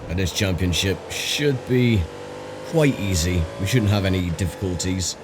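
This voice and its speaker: Croaky guy chatting over a racing game, really low voice, engine noise going under him. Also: moderate pace. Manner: relaxed, chatty, thinking aloud with fillers. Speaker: gaming channel host. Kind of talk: casual racing game commentary.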